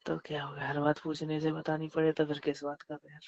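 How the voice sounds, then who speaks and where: Dull phone-call recording, flirty even-toned man. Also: on the high side, brisk, creaky, no room sound. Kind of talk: flirtatious casual phone chat.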